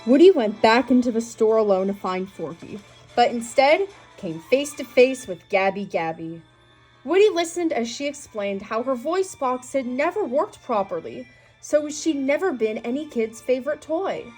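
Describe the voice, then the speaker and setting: A woman narrates a children's storybook in a high, slightly husky voice that rises and falls with great animation. Music plays behind her as she reads. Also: very animated, normal speed, darkish tone, poor audio. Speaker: storybook reader. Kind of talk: scripted read-aloud narration of a children's story.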